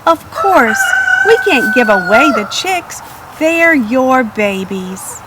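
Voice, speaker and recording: A woman voicing an excitable, fretful farm woman in a children's storybook, exclaiming dramatically. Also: high-pitched, slow pace, very noisy, exaggerated voice. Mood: tender, reassuring. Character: flustered, excitable farm woman, kindly toward her hen.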